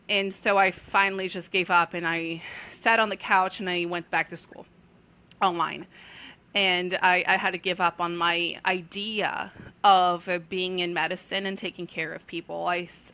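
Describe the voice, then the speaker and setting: A woman in her forties from Brazil, earnestly telling her life story as a guest, pausing often on fillers. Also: lowish voice, flat delivery, pushed and bright. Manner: earnest, with many filler pauses. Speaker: guest, intuitive healer. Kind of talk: casual storytelling.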